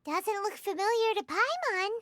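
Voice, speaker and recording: A woman voicing a small, excitable, chatty fairy companion in a video game, with a squeaky childlike voice. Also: normal speed, no echo, heavily acted. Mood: curious, uneasy. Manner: refers to self in third person, exclamatory. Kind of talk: animated video game voice acting.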